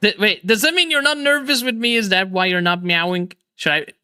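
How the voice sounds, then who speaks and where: A man hosting a casual video chat stream, energetic and laughing often, with quick restarts. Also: high voice, clean tone, no echo, clean studio sound. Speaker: streamer and host.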